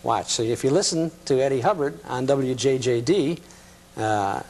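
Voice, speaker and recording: A man's voice: medium pitch, expressive, moderate pace, darkish tone, rough recording. A man hosting a television talk show, chatting casually with guests in a studio. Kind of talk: casual interview chat.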